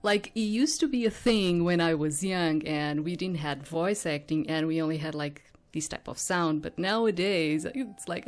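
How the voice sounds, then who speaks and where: A woman giving casual game commentary and reading aloud, thinking out loud in a hesitant, rambling way. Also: lowish voice. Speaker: host of a game playthrough.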